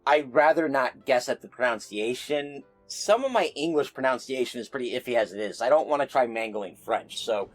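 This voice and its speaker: A man's voice: medium pitch, lively intonation, normal speed, few pauses, soft background music. A man hosting an informal explainer video, speaking in a conversational, self-deprecating way. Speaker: YouTube host of mythology and folklore videos.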